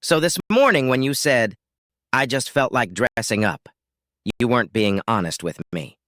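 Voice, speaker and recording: A man's voice: medium pitch, animated, moderate pace, fairly bright tone, no room sound, clean studio sound, close to an everyday voice. A man playing an angry, betrayed husband, confronting and accusing with sarcastic force.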